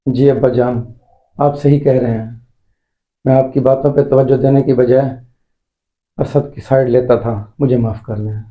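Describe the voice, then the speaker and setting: A man playing an indulgent father in a scripted family drama, speaking earnestly and deliberately. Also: medium pitch, steady tone, narrow, tinny audio, natural voice. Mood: conceding, apologetic. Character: indulgent father of a young boy, respectful but firm son.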